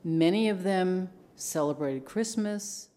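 Brief: older American woman from New York, slightly low voice, hesitant, reflective interview answers. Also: expressive, takes it slowly, mellow. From New York, USA. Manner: hesitant, reflective, with fillers and restarts.

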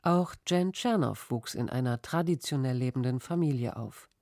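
Brief: documentary narrator, mellow tone, dry close sound, measured delivery. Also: medium pitch, dry recording. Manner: even, measured reading.

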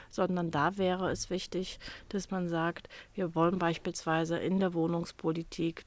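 A woman speaking as an expert guest on a radio panel, composed and reasoned. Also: lowish voice.

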